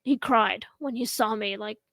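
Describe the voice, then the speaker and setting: A woman in her thirties from Russia, hosting a casual home chat show and talking in a slow, halting way with many pauses. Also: fairly high pitch, vocal fry, a bit bright, no room sound, clean studio sound. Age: 30s. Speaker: host.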